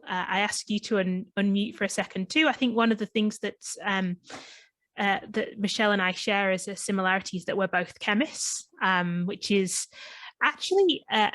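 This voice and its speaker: A woman's voice: medium pitch, a bit bright, no room sound. English woman presenting with a Northwest accent. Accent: Northwest English, between Manchester and Liverpool, slight Australian twang. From England. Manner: friendly, many ums and restarts. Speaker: chemist and guest presenter. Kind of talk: online presentation. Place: home in lockdown, video call.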